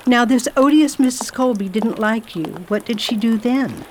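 A formidable spinster travel writer who gives orders and talks down to everyone, as a woman acts her. Her manner is crisp, condescending and brisk. Radio play acting. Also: on the high side, slight background noise, close to an everyday voice. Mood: bossy. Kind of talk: amateur radio drama acting.

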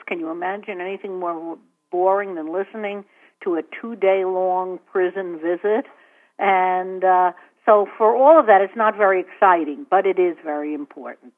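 Female attorney guest on a phone line, conversational and dry. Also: medium pitch. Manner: conversational, wry, explanatory. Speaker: lawyer interviewed as guest. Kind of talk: phone interview.

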